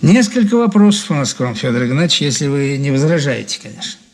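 An actor playing a polite, needling police interrogator in a radio drama. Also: male voice, mid-range voice, very animated, fast. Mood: courteous.

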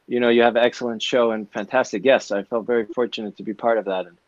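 Therapist guest, new yorker, rambling with fillers, dry muffled video call audio, forties. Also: male voice, mid-range voice, fairly even intonation, fast, no echo. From New York City. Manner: warm, rambling, many fillers and restarts. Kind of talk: conversational interview answers. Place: indoor office, video call. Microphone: earbuds on a video call.

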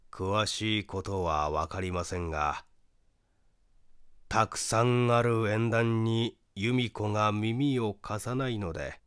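Man reading as a subdued, slow-spoken brother. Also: lowish voice, clear, resonant tone, no room sound, clean studio sound. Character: reserved, grieving young man recalling his dead sister. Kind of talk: audiobook character dialogue.

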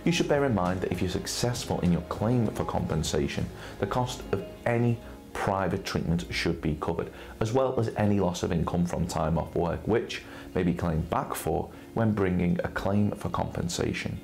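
British guy in his 30s, really low voice, reading a formal explainer, music underneath. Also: moderate pace, gravelly, darkish tone. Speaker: presenter for a personal injury claims firm. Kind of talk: scripted explainer to camera. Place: indoor studio set with brick wall and armchair. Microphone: lapel mic.

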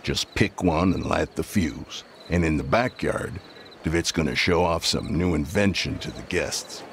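A weary old gunslinger of a Western game, with an extremely deep voice. He talks slowly and drily with quiet menace. There is clear noise behind him. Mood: calm, instructive. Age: older. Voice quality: gravelly. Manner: slow, dry, deliberate. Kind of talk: video game acting.

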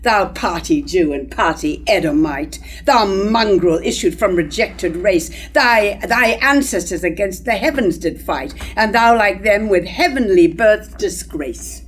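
A woman in her sixties playing a haughty, indignant high-born queen, declaiming stately verse in a staged reading of a tragedy. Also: animated, measured pace, hardly any pauses, breathy edge. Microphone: stand microphone at lectern.

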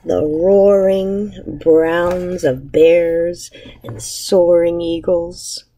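A woman in her thirties, an elementary teacher reading a picture book aloud to children with measured, expressive storybook phrasing. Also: fairly low pitch, rough recording. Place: indoors, empty school classroom.

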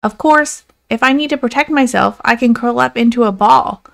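A woman's voice: a little high, normal speed, vocal fry. A woman voicing an earnest, exasperated pangolin who insistently corrects others in a read-aloud storybook. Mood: patient, insistent. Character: exasperated, earnest pangolin trying to explain himself.

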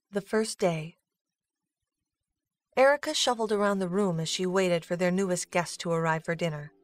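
A woman giving scripted narration for a storybook-style audio drama. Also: mid-range voice.

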